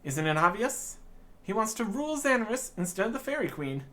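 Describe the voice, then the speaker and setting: A man's voice: high voice, very animated, brisk, a bit bright, voice pushed up. A man voicing an anxious, earnest fairy while reading video game dialogue aloud. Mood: worried, earnest.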